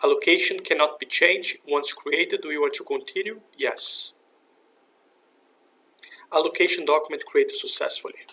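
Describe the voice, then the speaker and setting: A man giving a step-by-step software tutorial in an explanatory, instructional way. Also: a little high, normal speed, long pauses. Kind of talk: scripted software tutorial narration.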